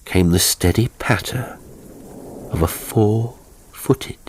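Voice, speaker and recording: A man reading a horror audiobook as narrator, with measured, suspenseful and deliberate delivery. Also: on the low side, darkish tone, a little hiss or hum.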